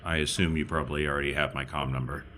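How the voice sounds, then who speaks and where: A man's voice: extremely low pitch, level tone, quick pace, bright, forceful tone. A man chatting casually as a player in a tabletop role-playing game. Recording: faint background noise.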